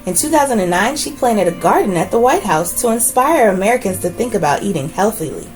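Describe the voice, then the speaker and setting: A woman hosts a children's book read-aloud in a mid-pitched, very animated voice. She phrases deliberately and leans on key words, adding short asides as she goes. Faint music plays behind her. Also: poor audio. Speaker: read-aloud host reading a children's biography.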